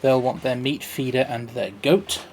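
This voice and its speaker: A man's voice: medium pitch, fairly even intonation, moderate pace, darkish tone, a little hiss or hum. A man giving casual gameplay commentary, thinking aloud and muttering to himself as he plays. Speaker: streamer playing a park-building game.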